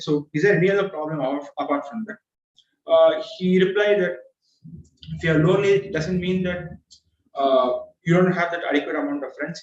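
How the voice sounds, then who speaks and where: Hesitant young man on an echoey call. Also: fairly high pitch. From India. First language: Tamil. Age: young adult. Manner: hesitant, frequent uh fillers and restarts. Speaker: club member giving first speech. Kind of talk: prepared speech with many fillers. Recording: far-off echoey dull video call audio.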